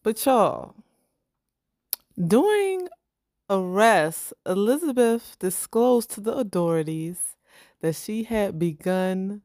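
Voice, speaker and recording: A woman's voice: medium pitch, a little mellow, dry recording, studio quality. A woman, telling a true-crime story to her co-host in a loose, chatty way and calling her listeners "y'all". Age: 30s. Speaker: podcast co-host. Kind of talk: casual true-crime podcast chat.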